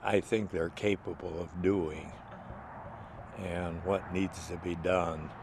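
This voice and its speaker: An older man speaks slowly and haltingly, as if thinking out loud. His voice sits very low. A faint hiss runs under it. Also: flat delivery. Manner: slow, halting, thoughtful. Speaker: garden owner. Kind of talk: interview.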